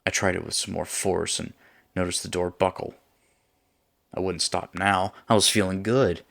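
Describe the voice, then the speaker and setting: A man narrating a scripted first-person horror story in a measured, suspenseful way. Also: deep voice, fairly even intonation, gravelly, fairly bright tone, no echo.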